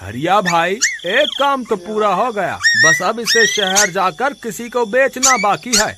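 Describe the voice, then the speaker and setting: A high, swooping voice from a man playing a greedy village thief in a children's cartoon. He sounds like a scheming small-time crook, with clear background noise. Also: big pitch swings, unhurried. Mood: sly, eager. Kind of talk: children's cartoon story dialogue. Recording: noisy background.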